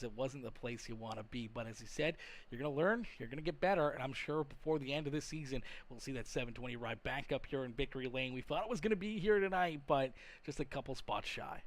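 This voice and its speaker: A man works as the host of a live motorsport broadcast. He talks at length in a chummy announcer's manner, with a mid-pitched voice, moving between commentary and post-race interview questions.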